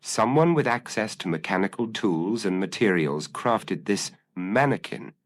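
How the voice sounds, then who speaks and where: A man voicing a brilliant, commanding male detective with calm, incisive, formal deductions in an audio mystery story. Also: lowish voice, measured pace, voice pushed up. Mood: analytical, assured. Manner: crisp, formal, declarative deductions.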